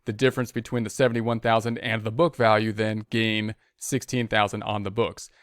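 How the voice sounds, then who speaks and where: A man's voice: on the low side, flat delivery, moderate pace, clear, resonant tone, dry recording. A man giving an informal tutorial as an instructor, talking conversationally and thinking aloud with rhetorical questions. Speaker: accounting instructor. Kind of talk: informal screen-share tutorial explanation.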